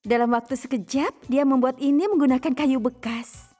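High-voiced, kind deer teacher. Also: female voice, normal speed, dark tone, faint music behind. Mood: gentle praise. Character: kind, polite female deer kindergarten teacher. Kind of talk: animated cartoon dub acting.

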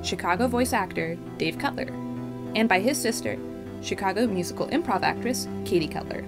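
Young woman, podcast credits, scripted narration, medium pitch, prominent music underneath. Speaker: podcast writer reading credits. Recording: loud music behind voice. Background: loud music.

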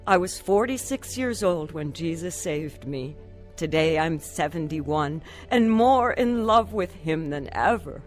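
A woman's voice: low-pitched, expressive, measured pace, soft background music. An actress playing a troubled, earnest woman telling her story of faith in a radio drama. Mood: grateful, testifying. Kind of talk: radio drama acting and first-person narration.

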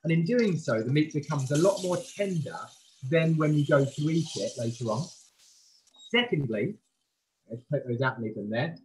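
A man's voice: fairly high pitch, animated, normal speed, a little mellow, slight background noise, low-quality recording. Man chef explaining a cooking demo. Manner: explanatory, informal. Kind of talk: live cooking demonstration. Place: professional kitchen, indoors. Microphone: video call, standing back from camera.

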